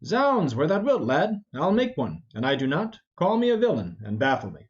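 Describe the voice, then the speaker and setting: A man's voice: fairly high pitch, huge pitch swings, normal speed. A man voicing a blustering, roguish old knight in an amateur Shakespeare reading, full of mock-indignant rhetoric. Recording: dull, lo-fi.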